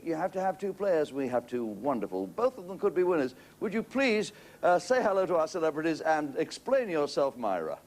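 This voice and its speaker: A man hosting a television game show, teasing contestants with quick comic one-liners. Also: fairly high pitch. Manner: joking, teasing, punchline-driven. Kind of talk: quick-fire comic patter. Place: television studio. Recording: TV studio with loud audience. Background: audience laughter and studio noise.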